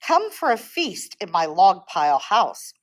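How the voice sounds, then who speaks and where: A woman voicing a sly but easily frightened snake in a children's storybook, with drawn-out exclamations. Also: medium pitch, very animated. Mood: inviting.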